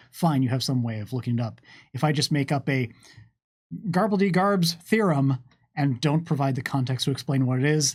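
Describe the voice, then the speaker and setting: A man talking casually and without a script as a game developer on a Q&A stream, wry and wordy, with deliberate phrasing. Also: medium pitch, darkish tone. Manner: wry, joking asides. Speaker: game developer answering audience questions on a live stream. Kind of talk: casual unscripted Q&A talk, reading out questions.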